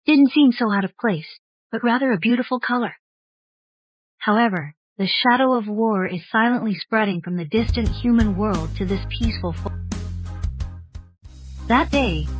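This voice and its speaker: A woman's voice: medium pitch, no echo, low-quality recording. Scripted female narrator, dry studio sound. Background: faint music.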